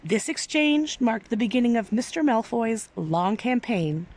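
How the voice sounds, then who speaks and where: A woman's voice: a little high, animated, measured pace, darkish tone. A woman reading a story aloud expressively, with careful, theatrical phrasing. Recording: clearly noisy background.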